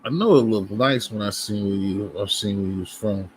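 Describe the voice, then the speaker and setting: A man's voice: on the low side, normal speed, husky, low-quality recording. Rambling Boston man on a livestream. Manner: loose, rambling talk to viewers, frequent restarts. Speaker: livestream host. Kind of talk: casual livestream chat.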